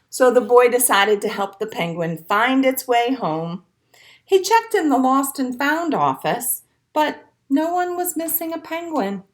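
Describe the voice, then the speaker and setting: A woman who hosts children's storytime, reading aloud expressively and speaking simply and encouragingly to small children. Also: on the high side. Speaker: children's storytime librarian and host. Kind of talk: reading a picture book aloud to young children, with songs and asides.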